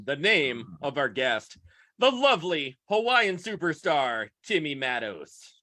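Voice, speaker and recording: A man's voice: fairly high pitch, sing-song, a little slow, pushed and bright. A man hosting a podcast in casual chat, playful and hammy, stretching words like an announcer. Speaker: podcast host.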